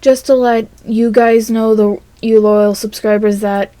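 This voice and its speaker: A woman recording a casual, rambling audio log monologue in a hesitant, halting way that trails off between phrases. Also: fairly high pitch, level tone, dark tone, no echo.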